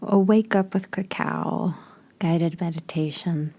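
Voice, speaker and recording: A woman guides a meditation in a dark, relaxed voice with plenty of vocal fry. Her delivery is soft and breathy, edging toward a whisper. She moves very slowly, leaving space between gentle, short phrases. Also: on the low side.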